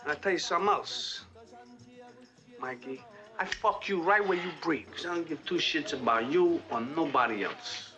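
Casting: a sneering hustler, bright tense voice, dull film sound. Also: male voice, fairly high pitch, normal speed, faint music behind. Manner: mocking, insulting, streetwise. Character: sneering small-time male neighborhood hustler.

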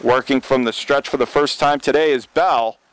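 A man doing radio play-by-play for a college baseball game, steady and measured, rising sharply when a play breaks open. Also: mid-range voice, smooth.

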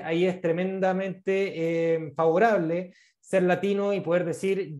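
A man in his thirties with a Chilean accent, giving casual advice on a video call, fast and full of fillers and repeated words. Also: sits high, darkish tone. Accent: Chilean Spanish. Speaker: guest speaker on international internships. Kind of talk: casual advice in an online talk. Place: small room indoors. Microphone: video call, earphone mic.

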